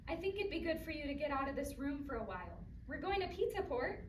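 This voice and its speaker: A high-pitched female voice from an actress plays an earnest, emotional daughter in a staged drama. She sounds hurt but caring, heard from across an echoey room with loud noise over the recording. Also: big pitch swings, quick pace, distant mic. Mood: concerned, coaxing. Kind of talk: staged play reading. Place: live indoor staged reading, far from mic.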